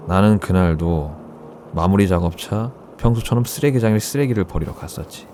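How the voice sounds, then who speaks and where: Low-voiced man telling a ghost story. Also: moderate pace, darkish tone, slight background noise. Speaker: horror radio narrator. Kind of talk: first-person ghost story narration in casual spoken Korean. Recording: background music under the voice. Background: music.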